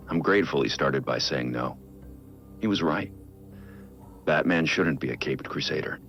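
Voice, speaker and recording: An actor playing a brooding billionaire vigilante, recording a measured, reflective personal audio log in a game. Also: male voice, fairly bright tone, muffled recording, exaggerated voice. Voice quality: low gravelly voice. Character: brooding billionaire vigilante, man in his prime.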